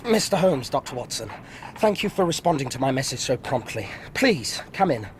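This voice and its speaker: Casting: actor for formal, rattled gentleman doctor, RP, medium-pitched voice, radio play. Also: sing-song, normal speed, a little hiss or hum, natural voice. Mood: shaken, formal. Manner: formal, courteous. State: shaken. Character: polite, shaken professional gentleman doctor. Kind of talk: radio drama acting.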